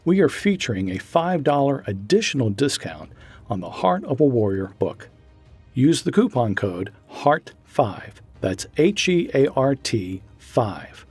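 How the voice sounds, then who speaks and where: A man's voice: medium pitch, very animated, measured pace, slightly rough, dry recording. A man reading a scripted promotional announcement in an encouraging, earnest way. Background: soft music.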